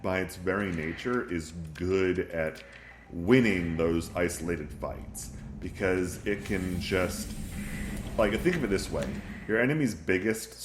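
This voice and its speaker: Deep-voiced man, sniffly gameplay walkthrough. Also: roomy sound, a little hiss or hum. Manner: relaxed, frequent ums, thinking aloud. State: sniffly from recovering flu. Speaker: game tutorial narrator. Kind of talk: casual gameplay commentary.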